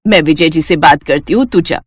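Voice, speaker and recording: A woman's voice: deep voice, fairly quick, breathy edge, dry recording, studio quality, voice pushed down. A woman doing a stern older mother-in-law. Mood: scheming. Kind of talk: cartoon dubbing.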